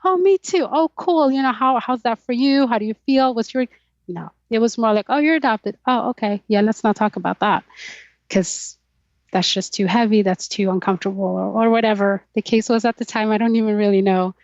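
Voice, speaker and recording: A woman's voice: fairly high pitch, fairly quick, no echo. Reflective Swedish-born woman, muffled dry audio. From Sweden. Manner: reflective, thoughtful, with light laughs. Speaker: podcast guest. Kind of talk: candid personal interview.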